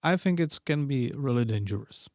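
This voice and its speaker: A man's voice: medium pitch, lively intonation, moderate pace, a little mellow, no echo, studio quality. A middle-aged man with a Czech accent, a podcast guest talking in casual interview chat, hesitant and full of fillers and broken phrasing.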